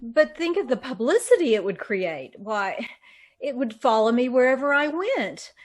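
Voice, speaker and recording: A woman playing a vain, intense woman in an old-time radio drama, speaking deliberately with dramatic pauses. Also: a little high, big pitch swings. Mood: wistful, scheming. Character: vain, intense woman reborn with a beautiful new body.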